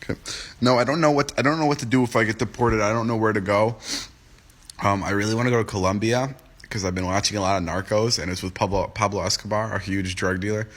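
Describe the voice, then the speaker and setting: Just-woke-up dude in his 20s, kinda low voice, rambly jokey livestream chat reading comments off his phone. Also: fairly even intonation, quick pace, a bit bright. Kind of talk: casual livestream chat to viewers. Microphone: phone livestream app.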